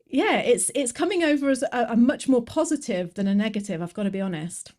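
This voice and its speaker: A woman's voice: a little high, animated, fairly quick, darkish tone. A woman hosting a conversational podcast interview, chatty and reflective, thinking aloud with frequent restarts. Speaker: podcast host.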